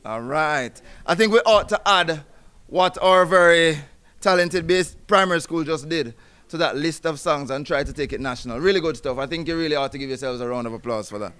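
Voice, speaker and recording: A high-voiced man with a Jamaican accent addresses an awards ceremony. He talks in a loose, friendly way, stumbling into restarts and asides. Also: brisk, smooth. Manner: chatty, warm, breaks into song, restarts often. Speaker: guest speaker, executive director. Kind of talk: public speech at a ceremony.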